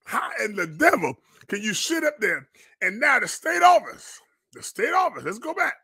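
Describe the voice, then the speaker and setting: A man's voice: very high-pitched, big pitch swings, moderate pace, pushed and bright, slightly rough, no echo, studio quality. A man, a pastor hosting a live commentary, indignant and emphatic, repeating phrases and firing rhetorical questions like a preacher. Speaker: pastor and online show host. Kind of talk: fiery live-stream commentary, preacher's cadence.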